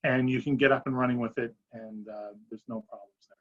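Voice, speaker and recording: A man giving an informal, somewhat halting product presentation and answering questions as a company presenter in a webinar. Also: medium pitch, steady tone. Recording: dull, lo-fi webinar audio.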